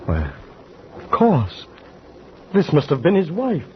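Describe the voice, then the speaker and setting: An actor playing a shaken man who witnessed a murder at sea, telling it in halting words with stammered repeats, in 1940s radio drama style. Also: fairly high pitch, huge pitch swings, heavily acted. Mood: horrified. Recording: dull old radio recording with faint hiss.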